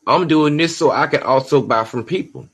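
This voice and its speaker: A man's voice: on the high side, normal speed, slightly rough. Emphatic man podcasting. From Georgia, USA. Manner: emphatic, preachy, streetwise, drops "you know what I'm saying" constantly. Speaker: podcast host. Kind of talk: solo podcast monologue.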